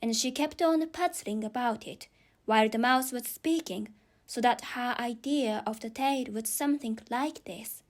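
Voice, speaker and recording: A woman's voice: on the high side, moderate pace. A woman with a non-native English accent reading a children's story as an audiobook narrator.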